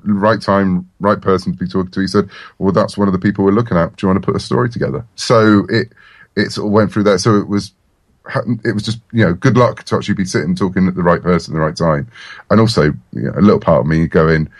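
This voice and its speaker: Low-voiced man, chatty and wry. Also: no room sound. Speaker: interview guest, comics and audio writer. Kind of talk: casual interview chat.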